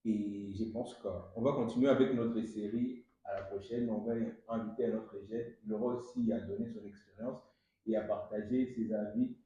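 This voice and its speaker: A man's voice: on the low side, fairly even intonation, normal speed, dark tone, lo-fi sound, a little hiss or hum. A young man hosting a casual conversational interview in a very echoey room. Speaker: podcast host.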